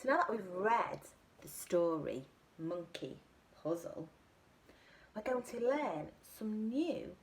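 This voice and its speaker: A woman nursery teacher, gentle and encouraging, wrapping up story time for young children. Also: medium pitch.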